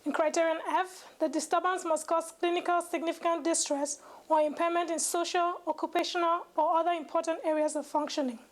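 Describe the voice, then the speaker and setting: A woman's voice: very high-pitched, level tone, brisk, few pauses. A young woman, a medical student, giving a scripted educational presentation, reading formally and carefully with occasional stumbles. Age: young adult.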